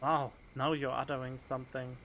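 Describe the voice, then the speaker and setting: A man's voice: medium pitch, flat delivery, normal speed, a bit bright, slight background noise, low-quality recording. A man streaming a video game, giving casual, chatty, reactive commentary into a desk microphone. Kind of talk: casual let's play commentary. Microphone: desk condenser microphone. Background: game music.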